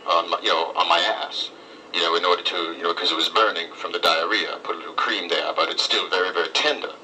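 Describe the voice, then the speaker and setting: A man playing an earnest, distressed restaurant customer on a prank phone call, speaking in a deadpan, halting, insistent way. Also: low-pitched. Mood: deadpan, plaintive. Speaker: prank caller. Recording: distant, noisy phone call recording.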